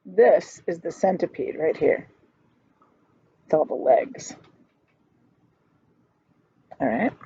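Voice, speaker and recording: A woman teacher reading a children's storybook aloud expressively, pausing to explain things in simple, casual words. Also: on the low side, lively intonation, vocal fry, warm tone, breathy edge, no echo, muffled recording.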